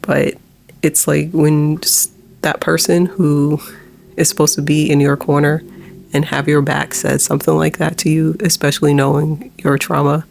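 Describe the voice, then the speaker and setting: A woman in her forties with a low, monotone voice, talking haltingly about something personal in a vlog with nervous laughs while holding back tears. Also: vocal fry, a little hiss or hum. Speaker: vlogger.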